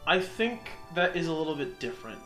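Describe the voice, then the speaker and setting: A man with a fairly high, very lively voice chats casually as a Let's Play commentator. He is excitable and jokey, prone to mock voices, with game music behind him. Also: brisk. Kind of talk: casual banter over game cutscenes.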